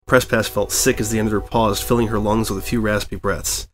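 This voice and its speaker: A man narrating a fan fiction story as an audiobook reader, with steady, expressive storytelling. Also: lowish voice, fairly quick. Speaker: fanfic reader.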